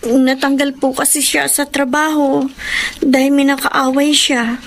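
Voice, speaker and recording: A woman's voice: high voice, little pitch movement, measured pace. An actress playing an apologetic, self-blaming young wife and mother with a Filipino accent, respectful and earnest in a TV drama. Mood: sorrowful. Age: young adult. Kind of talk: naturalistic TV drama acting. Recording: faint background noise, location ambience.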